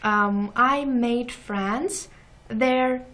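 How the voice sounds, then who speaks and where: A young woman from Ukraine with a Ukrainian accent, a guest in an interview, speaking halting non-native English and searching for words. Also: on the high side, a bit bright. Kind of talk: casual interview chat.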